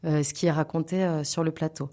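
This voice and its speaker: Woman, slightly low voice. Interview guest from the theatre world; earnest, hesitant, conversational. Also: fairly even intonation, fairly quick, dark tone. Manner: hesitant, searching for words. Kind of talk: spontaneous radio interview conversation.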